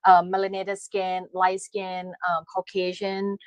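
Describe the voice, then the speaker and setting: A woman's voice: medium pitch, completely flat delivery, slow pace, smooth, no room sound. A middle-aged woman from Thailand with a Thai accent, speaking hesitantly with many fillers as an interview guest. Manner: hesitant, many fillers, non-native grammar. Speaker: guest, aesthetician. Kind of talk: casual interview.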